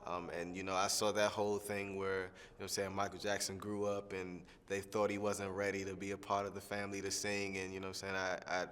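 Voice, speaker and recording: A young man, a singing contestant, answering interview questions in a relaxed, rambling way with lots of fillers. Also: deep voice, quick pace, few pauses, clear, resonant tone, in a large hall. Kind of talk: press junket soundbite interview.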